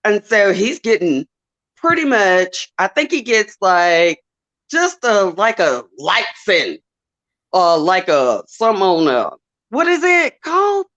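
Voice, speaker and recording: Southern woman caller, emotional and laughing, slow and swooping delivery. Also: medium pitch, big pitch swings, a bit bright, highs cut off. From Southern United States. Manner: laughs often, emotional and chatty. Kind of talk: casual call-in chat.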